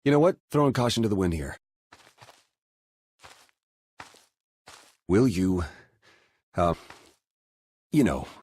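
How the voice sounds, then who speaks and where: An actor playing a reckless, lovesick male spy in a game cutscene, earnest and halting. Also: very animated, darkish tone, heavily acted. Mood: flustered, tender. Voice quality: low, husky voice. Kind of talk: video game cutscene acting.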